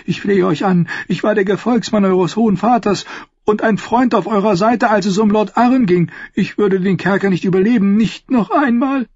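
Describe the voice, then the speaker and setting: A frail old grand maester with a raised, husky, wavering voice, stumbling over his words as he pleads, as a male reader puts him on. He sounds timid and eager to please. The recording is dry and slightly dull. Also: high-pitched, lively intonation, dry recording, pitched above the performer's own voice, heavily acted. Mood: pleading. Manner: stammering, pleading, halting.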